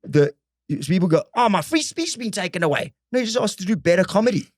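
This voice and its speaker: A man with a South African English accent talking as a comedian guest on a podcast, earnest and animated, speaking fast in bursts. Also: sits high, no echo, studio quality. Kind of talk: casual podcast chat. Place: podcast studio.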